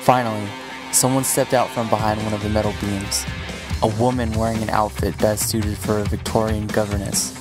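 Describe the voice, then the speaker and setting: A man's voice: lowish voice, flat delivery, moderate pace. A man reading scripted story narration as the narrator of an audio drama. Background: music with guitar.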